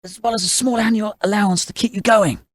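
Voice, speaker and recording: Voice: medium pitch, steady tone, fast talker, no room sound, natural voice. An actor playing a flustered, easily tempted young husband in an audio drama, hesitant in manner. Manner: hesitant, muttering. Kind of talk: audio drama character acting.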